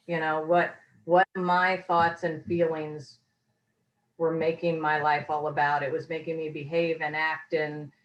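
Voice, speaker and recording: An earnest woman with a fairly low voice, a little hoarse, talking over a video call. She rambles reflectively, circling back and restarting her thoughts as she goes. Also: muffled recording. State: slightly hoarse. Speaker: course graduate, interviewee. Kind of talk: casual testimonial interview.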